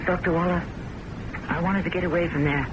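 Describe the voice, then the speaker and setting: A woman's voice: fairly low pitch, fairly even intonation, a little fast, lo-fi sound, natural voice. An actress playing a frantic, suspicious woman in an old-time radio drama, speaking with dramatic, insistent intensity. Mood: distraught, recounting. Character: frantic, suspicious woman recounting a spy ordeal. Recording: noisy old radio broadcast recording.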